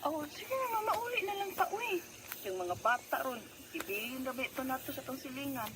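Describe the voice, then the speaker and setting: Actress as worried Cebuano wife, high-pitched, noisy recording. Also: female voice, lively intonation, fairly quick. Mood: tired, coaxing. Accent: Filipino, Cebuano. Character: worried wife and letter sender recounting her family's troubles. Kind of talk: dramatized narration in a radio drama.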